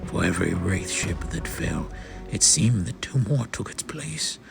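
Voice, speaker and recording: A man's voice: low-pitched, big pitch swings, a little slow, gravelly. A man giving a solemn, theatrical first-person narration of a space saga, as in a dramatic comic-book reading. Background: music.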